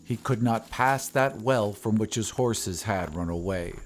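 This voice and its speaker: Low-voiced male horror narrator. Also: unhurried. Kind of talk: dramatic scripted horror story narration.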